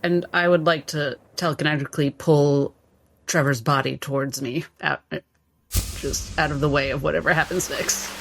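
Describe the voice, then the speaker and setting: A woman playing a tabletop game, narrating her character's actions in a halting, dramatic way. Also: low voice, steady tone, vocal fry, fairly bright tone, a little hiss or hum. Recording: music bed under the voice.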